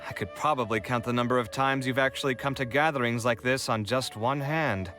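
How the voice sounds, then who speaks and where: A man voicing an expressive, sardonic young architect in video game dialogue, with a theatrical, wry delivery. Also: medium pitch, brisk, close to an everyday voice. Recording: game music behind the voice.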